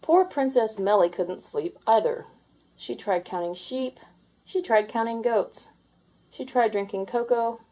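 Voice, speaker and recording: A woman reading a children's story aloud in an expressive, deliberate storytelling manner. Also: a little high. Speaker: storybook reader. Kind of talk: children's read-aloud narration with character voices.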